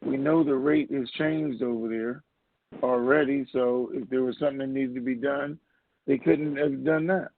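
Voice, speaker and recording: A man's voice: mid-range voice, steady tone, moderate pace. A man hosting an informal question-and-answer conference call over the telephone. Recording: muffled narrowband phone line.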